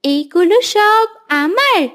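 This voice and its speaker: A sweet little cartoon girl. The voice is extremely high and childlike, with the wheedling manner of a younger sister in a moral tale. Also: animated, slow pace, a bit bright, heavily acted. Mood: excited. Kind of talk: animated Islamic moral story voice acting.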